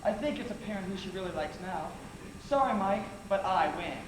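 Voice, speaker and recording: A man's voice: high voice, expressive, a little fast. Casting: male actor as smug young rival who taunts, stage drama; very echoey hall, noisy capture. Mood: smug, taunting. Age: young adult. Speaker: stage actor in a one-act play. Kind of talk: amateur stage acting, projected dialogue. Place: theater stage. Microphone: distant audience camera.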